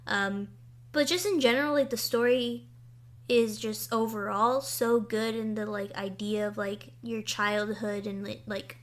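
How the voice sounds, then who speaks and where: A young woman co-hosting a casual podcast chat, excitable and gushing about things she loves. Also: medium pitch. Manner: excitable and gushing, quick to say she will cry. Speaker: podcast co-host.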